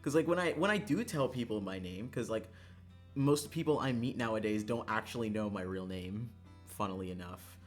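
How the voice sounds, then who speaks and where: A livestreamer chatting casually to viewers in an animated, exasperated way, swearing and laughing often. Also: mid-range voice, normal speed, soft background music. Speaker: livestreamer, gaming streamer.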